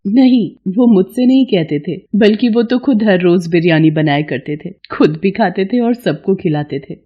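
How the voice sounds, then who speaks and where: A woman voicing a humble, poor widowed mother in a cartoon story, speaking gently, politely and plaintively. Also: medium pitch, normal speed, lo-fi sound, natural voice. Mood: wistful. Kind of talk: cartoon moral story acting.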